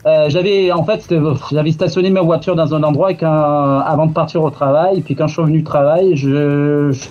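A man from Marseille answers questions hesitantly, restarting often and laughing between thoughts. His voice is fairly high but mellow. The recording is dull and lo-fi, with faint music underneath. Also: fairly even intonation, brisk, few pauses. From Marseille, France. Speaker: guest. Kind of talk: interview answers.

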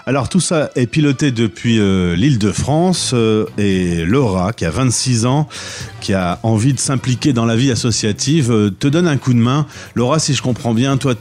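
A man hosting a radio interview, asking questions in a relaxed way with some hesitations. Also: medium pitch, normal speed, faint music behind. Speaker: radio host.

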